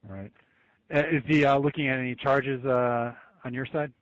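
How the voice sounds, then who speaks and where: A man's voice: mid-range voice, steady tone, moderate pace, no room sound. An American man, a law enforcement agent, leading a businesslike conference call over the telephone. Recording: tinny narrow-band phone conference line.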